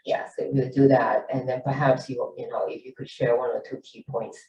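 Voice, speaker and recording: A man's voice: medium pitch, level tone, moderate pace, creaky, a little mellow. A man moderating a panel, asking a long, rambling question full of "you know". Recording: dull, echoey, distant mic.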